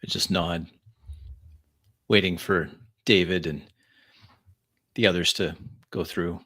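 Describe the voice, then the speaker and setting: A man's voice: deep voice, fairly even intonation, slow pace, bright, forceful tone. A man improvising first-person narration of his character's actions in a tabletop roleplaying game.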